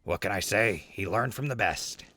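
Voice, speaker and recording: A man playing a wisecracking, irritating wasteland traveler in an audio drama, with a gravelly voice and sardonic drawl. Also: lowish voice, moderate pace, sharp tone. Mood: wry. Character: wisecracking, irritating male wasteland traveler who savours simple things. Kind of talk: post-apocalyptic audio drama acting.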